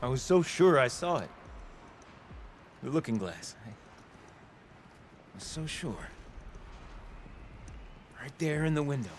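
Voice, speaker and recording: A man's voice: medium pitch, sing-song, takes it slowly, pauses often, a little hiss or hum. An actor playing an exuberant, grandiose male ruin delver who talks like a rambling storyteller in a video game cutscene. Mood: crestfallen. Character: exuberant, theatrical male adventurer who delves ruins for relics.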